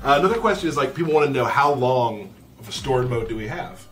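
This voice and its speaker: A man hosting a casual live question-and-answer chat in an easygoing, joking, deadpan way. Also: medium pitch, quick pace, distant mic. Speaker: livestream host from a game studio. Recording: faint background noise.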